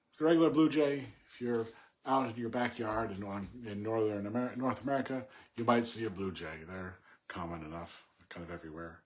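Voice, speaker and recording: A man reading poetry and commenting on it informally in a measured, thoughtful way. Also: lowish voice. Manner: halting, thinking aloud with self-corrections. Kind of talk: poem recitation and informal unscripted commentary.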